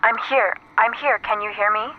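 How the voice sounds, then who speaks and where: A woman's voice: fairly high pitch, fairly even intonation, moderate pace, lo-fi sound. A woman playing a tender, wistful lover in a retro science fiction audio drama, her voice filtered like an old phonograph with static. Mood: anxious, hopeful. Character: tender, wistful woman in love, speaking from another universe. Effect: old phonograph or radio filter with static. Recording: small distant filtered voice, crackling static. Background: static noise.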